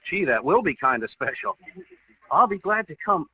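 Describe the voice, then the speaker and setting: An actor playing an eager, bumbling young boyfriend with an American accent in 1940s radio comedy, hesitant and earnest. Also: male voice, fairly high pitch, big pitch swings, normal speed, sharp tone, breathy edge, no room sound, full character voice. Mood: nervous, hesitant. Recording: dull, hissy old radio recording.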